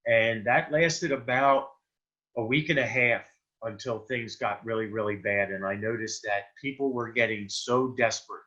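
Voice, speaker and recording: A man's voice: medium pitch, moderate pace, pushed and bright. A man from Delaware, a political candidate, giving an earnest, plain-spoken campaign talk on a video call with many fillers. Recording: dull lo-fi video call audio.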